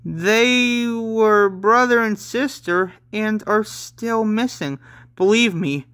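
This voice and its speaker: A man's voice: high voice, slow pace, fairly bright tone, voice pushed up. A man voicing a forty-year-old captain in a manga story, solicitous and reassuring. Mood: concerned, reassuring.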